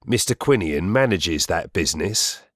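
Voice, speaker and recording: A male actor plays a cold, severe stepfather in an audiobook drama. His voice is low and very dry, and he speaks with grave, pompous deliberation, as one used to being obeyed. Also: lively intonation, normal speed, no echo. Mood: cold, brisk. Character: stern, cold middle-aged stepfather, severe and domineering.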